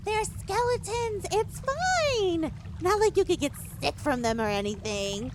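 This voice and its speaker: An extremely high, lively female inner voice teases and reassures in an audio drama. It sounds perky and playful, with clear watery noise behind it. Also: measured pace, full character voice. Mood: chirpy reassurance. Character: perky, teasing female voice inside a pony's head.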